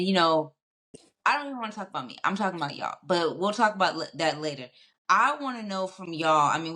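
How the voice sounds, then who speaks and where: A woman's voice: on the low side, a bit bright. A woman hosting a casual panel conversation over a video call, chatty and loose with lots of fillers. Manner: chatty, many fillers and restarts. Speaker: podcast host.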